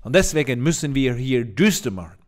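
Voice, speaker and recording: A man's voice: medium pitch, big pitch swings, fairly quick, natural voice. A man playing an overconfident photo retoucher who explains in broken German mixed with English. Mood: mock-serious. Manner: boastful, mock-serious explaining. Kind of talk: parody tutorial.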